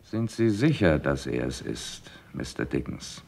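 An actor playing a calm, courteous, methodical chief inspector in a German radio crime play, speaking in a measured, musing way. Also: male voice, a bass voice, gravelly, breathy edge, heavily acted. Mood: businesslike, pensive.

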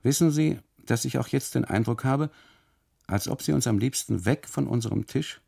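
A man's voice: medium pitch, normal speed, creaky, warm tone, husky, pitched above the performer's own voice. A man voicing a suspicious, easily amused tourist in a radio monologue, emphatic, insistent and derisive. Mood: suspicious, complaining.

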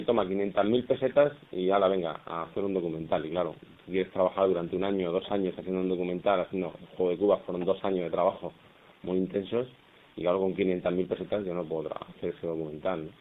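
A man, a film director, answering at a press conference in a halting way, often repeating words as he thinks. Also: low-pitched, fairly even intonation. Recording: muffled phone-like press conference audio.

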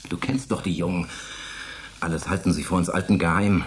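An actor playing a sardonic, grumbling forty-year-old retired space pilot and bickering husband in a German science fiction radio play. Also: male voice, deep voice, steady tone, brisk, lightly acted. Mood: mocking. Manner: mocking, grumbling, declamatory.

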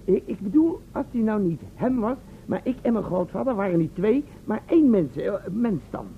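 A man's voice: high-pitched, lively intonation, normal speed. An actor playing a nervous, reluctant, naive helper in a radio play, hesitant and rambling as he protests. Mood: puzzled, musing. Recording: muffled, phone-like old radio recording, faint noise.

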